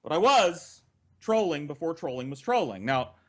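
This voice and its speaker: A man's voice: on the high side, big pitch swings, normal speed, fairly bright tone. A man telling comic personal stories as a podcast co-host, with theatrical emphasis and casual swearing.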